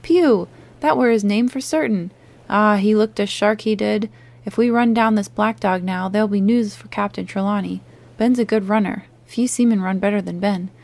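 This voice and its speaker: A loud, bluff one-legged pirate landlord bellows and cajoles in salty sea talk, as a woman reader puts him on. The voice rides a touch higher than plain narration, with a gravelly edge. It is an audiobook character reading. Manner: loud, blustering, sailor slang. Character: hearty one-legged male pirate innkeeper, blustering.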